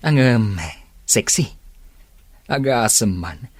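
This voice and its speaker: A man's voice: mid-range voice, lively intonation, slow pace, no room sound. A man playing a frank, chummy workmate and family man in a radio drama, with a Filipino accent.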